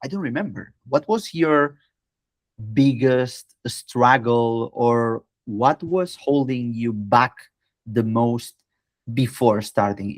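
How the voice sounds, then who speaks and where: Male teacher-host, medium pitch, asks questions in a dry close recording. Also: no room sound. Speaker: language teacher and interviewer. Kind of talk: interview questions.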